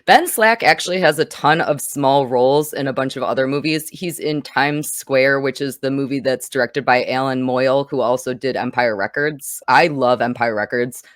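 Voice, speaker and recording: A woman's voice: a bass voice, moderate pace, hardly any pauses, dry recording, studio quality. A woman hosting a conversational film review podcast, chatting through a movie discussion.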